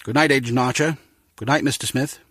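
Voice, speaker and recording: A husky, mid-pitched older male voice plays a spy chief as a game actor does. He is fatherly, formal and quietly wry, heard on a dry recording. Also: fairly even intonation, a little fast, no echo, close to an everyday voice. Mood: reflective. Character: avuncular older British spymaster, courteous, measured, wry. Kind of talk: video game voice acting.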